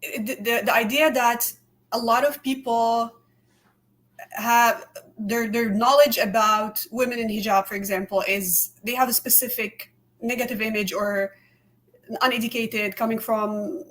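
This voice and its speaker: Female podcast guest, slightly high voice, earnest and candid, lots of restarts. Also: steady tone, a little slow, fairly bright tone. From immigrant to Canada. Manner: earnest, repeats words and hedges often. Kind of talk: candid conversational interview.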